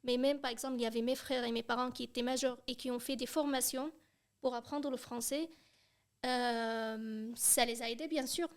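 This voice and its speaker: A woman's voice: fairly high pitch, steady tone, normal speed. A young woman from Syria, a podcast guest talking hesitantly, with many fillers and restarts. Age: young adult. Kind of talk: casual chat.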